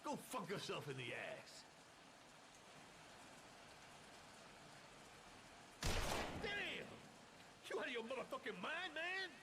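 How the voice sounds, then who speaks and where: An actor playing a foul-mouthed, aggressive criminal mechanic with streetwise slang in a dramatic video game. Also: male voice, very high voice, wildly swooping pitch, normal speed, heavy background noise, heavily acted. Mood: furious, defiant. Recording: loud music over the voice.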